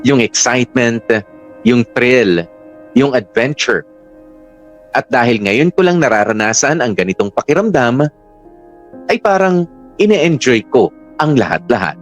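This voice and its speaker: A man's voice: medium pitch, animated, a little slow, long pauses, soft background music, lightly acted. Man voicing a confiding, restless mother's monologue. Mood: reflective, thrilled. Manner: reflective, confiding. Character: restless young mother confiding thrill of romantic rivalry. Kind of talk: dramatic first-person monologue.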